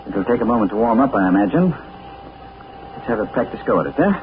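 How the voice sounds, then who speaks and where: A man playing a suave gentleman adventurer with dry understatement, in a 1940s radio drama. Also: lowish voice, lively intonation, quick pace, natural voice. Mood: wry. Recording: tinny, noisy 1940s radio broadcast.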